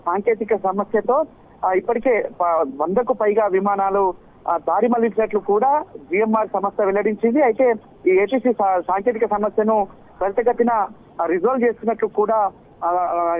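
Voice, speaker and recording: Over a thin, phone-like link, a male correspondent reports live. His voice is notably high for a man. He speaks haltingly, repeating himself and hesitating as he improvises. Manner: halting, repetitive, hesitant delivery. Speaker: news correspondent. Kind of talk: unscripted live news report. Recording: muffled, band-limited live link.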